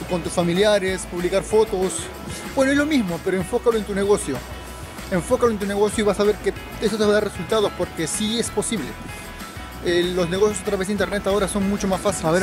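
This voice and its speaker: Male travel vlogger, twenties, high-pitched, instructive and informal, recorded on city streets over music. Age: 20s. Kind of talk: informal advice vlog to camera. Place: outdoors in city square and narrow streets. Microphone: camera at arm's length.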